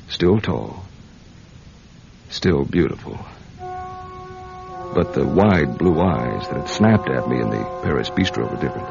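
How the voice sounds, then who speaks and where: An actor playing a wry, world-weary American intelligence agent in a radio drama, dry and deliberate as he tells and probes his case. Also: rumbling low, vocal fry, heavily acted. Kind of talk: radio drama acting, first-person spy narration. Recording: dull radio recording with music. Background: music.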